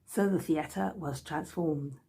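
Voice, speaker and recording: A woman reading a scripted narration aloud in a measured, storytelling way. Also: deep voice, gravelly, dark tone, slightly rough. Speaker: author reading from own book.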